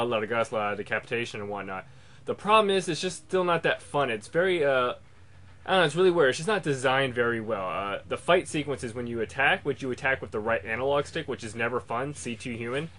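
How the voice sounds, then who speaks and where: Fast-talking man reviewing video games. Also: mid-range voice, fairly bright tone. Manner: rambling, loosely worded. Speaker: video game reviewer, host. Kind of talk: casual unscripted review commentary.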